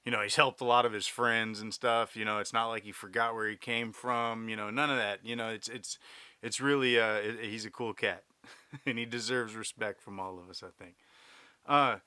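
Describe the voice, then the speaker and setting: A man's voice: on the low side, fairly even intonation, normal speed, bright, forceful tone, smooth, dry recording. A man hosting a podcast, chatting easily and agreeably with his guest. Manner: easygoing, agreeable, frequent backchannel. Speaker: podcast host. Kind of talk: casual interview chat.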